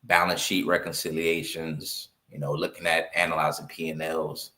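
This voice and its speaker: A man's voice: a bass voice, flat delivery, moderate pace, bright, forceful tone. A man in his fifties from New York talking conversationally as an interview guest, repeating points for emphasis as he tells his story. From Brooklyn, New York. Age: 50s. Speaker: interview guest, accountant and business owner.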